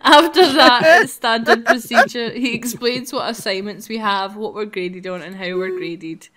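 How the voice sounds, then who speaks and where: A woman's voice: a little high, moderate pace, fairly bright tone. A woman hosting a visual novel playthrough, mixing casual narration with chat. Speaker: host reading a visual novel.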